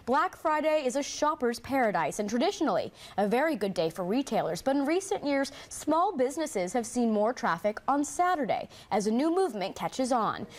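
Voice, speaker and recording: An American woman reading scripted television news as an anchor. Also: on the high side.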